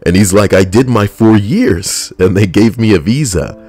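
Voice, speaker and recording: A man's voice: lowish voice, normal speed, a little mellow, husky. A young adult man, a military veteran, telling a personal story in a blunt, profane, conversational way.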